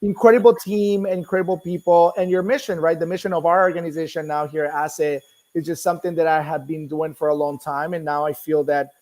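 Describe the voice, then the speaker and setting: A middle-aged man with a Colombian accent talks as a guest in a casual interview. His voice sits a little high for a man. He is a native Spanish speaker, informal and talkative as he tells his own story. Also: steady tone, a little fast, darkish tone. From Bogota, Colombia. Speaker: guest, nonprofit executive.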